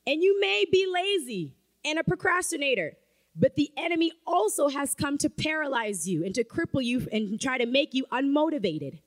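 A woman's voice: sits high, very animated, moderate pace. A woman preaching a sermon in a church, emphatic and rising to fervent calls of amen. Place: church sanctuary.

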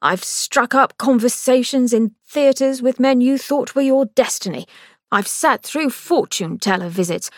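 A woman voicing a smug, sharp-tongued young male doctor who teases and scolds his sister. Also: high-pitched, animated, a little slow, no echo, clean studio sound, voice pushed up, heavily acted. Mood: exasperated. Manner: teasing, exasperated.

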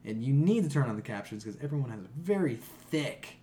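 A man's voice: medium pitch, sing-song, quick pace, warm tone, a little hiss or hum. A man hosting a podcast in casual, opinionated chat, blunt, profane and emphatic in how he talks. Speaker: podcast host.

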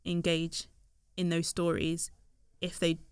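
Woman, thoughtful guest, resonant lower voice, dry recording. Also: completely flat delivery, a little slow, clear, resonant tone, no echo. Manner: thoughtful, hesitant with many fillers. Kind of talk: casual discussion.

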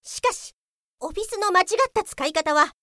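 Woman as very high-voiced strict anime policewoman. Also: normal speed, pushed and bright, no room sound, clean studio sound. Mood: stern, officious. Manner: officious politeness snapping into scolding. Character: strict, hot-tempered young policewoman of an Office software squad. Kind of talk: comedic anime-style radio drama.

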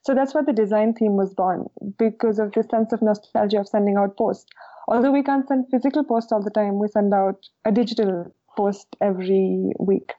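A woman's voice: mid-range voice, steady tone, normal speed, mellow. A woman from Kashmir, answering interview questions in an articulate, reflective way with frequent fillers. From Kashmir, India. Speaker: newsletter co-founder, guest. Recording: dull lo-fi sound.

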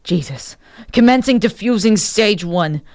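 A woman playing a gruff, melodramatic male bomb defuser in a comedy sketch, like an action-film hero. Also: mid-range voice, lively intonation, a little slow, darkish tone. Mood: grim, tense.

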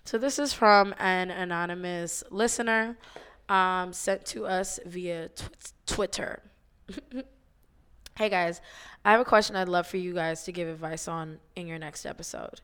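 A thirty-year-old woman with a hoarse voice, co-hosting a podcast in relaxed, slangy chat with frequent ums. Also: fairly low pitch, unhurried. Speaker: podcast co-host. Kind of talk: casual chat.

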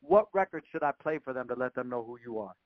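A man's voice: medium pitch, lively intonation, fast talker, no room sound. A man hosting a casual radio interview, putting questions to his guest over a muffled call line. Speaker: radio show host, interviewer. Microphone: phone or call line. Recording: muffled, dull conference-call audio.

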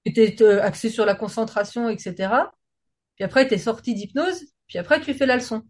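A woman's voice: fairly high pitch, a little fast. A woman from France with a French accent, chatting casually and talkatively in informal, slangy language. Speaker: singer, guest. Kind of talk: casual conversation.

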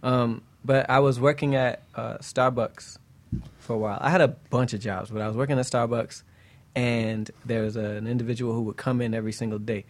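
A man's voice: medium pitch, fairly even intonation, fairly quick. A man talking casually as a guest singer on a chat show, telling stories in a playful way.

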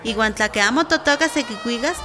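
A woman's voice: on the high side, fairly quick, music in the background, lightly acted. A woman playing an experienced village midwife in a radio drama, telling a story earnestly and vividly.

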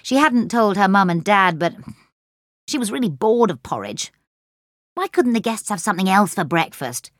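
A woman's voice: mid-range voice, lively intonation, moderate pace, dry recording. A woman reading a children's story expressively as a scripted audiobook narration, close on the microphone. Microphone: close studio microphone.

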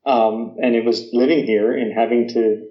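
A man's voice: mid-range voice, steady tone, moderate pace, roomy sound, muffled recording. An American man in his thirties talking casually, with lots of fillers, restarts and "you know". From United States. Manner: chatty, many fillers and restarts. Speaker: guest. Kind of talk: casual conversation.

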